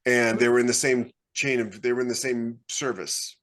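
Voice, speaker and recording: Middle-aged man chatting in a medium pitch. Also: fairly even intonation, moderate pace, a bit bright. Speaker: podcast co-host and science fiction author. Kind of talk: casual chat. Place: plain small room at home.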